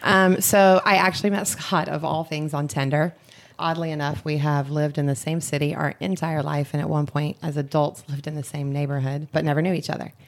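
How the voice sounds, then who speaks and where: A woman's voice: deep voice, level tone, a little fast. A woman guest on a podcast, chatty and laughing often as she tells her story. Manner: chatty, laughs often while telling stories. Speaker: interview guest. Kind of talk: casual storytelling. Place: resort poolside, outdoors.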